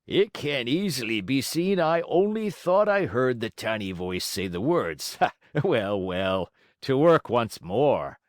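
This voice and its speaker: A man's voice: medium pitch, big pitch swings, slow pace, no room sound, studio quality. A man voicing a funny, easily frightened old carpenter in a storybook, muttering to himself theatrically. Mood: forced cheer.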